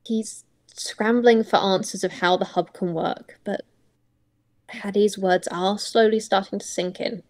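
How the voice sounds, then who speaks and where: A woman improvising story narration for a roleplay, in reflective, halting phrases. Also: mid-range voice, steady tone, a little mellow.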